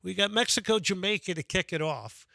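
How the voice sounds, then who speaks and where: A man's voice: high-pitched, expressive, fairly quick, gravelly, pushed and bright, no room sound, clean studio sound. A man hosting a radio sports call-in show, talking with enthusiasm and taking callers. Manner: enthusiastic, reads out phone number repeatedly.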